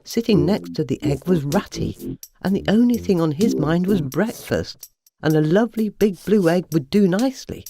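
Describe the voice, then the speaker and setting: A woman narrating a scripted children's bedtime story in an expressive storytelling manner. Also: fairly low pitch, moderate pace, background noise.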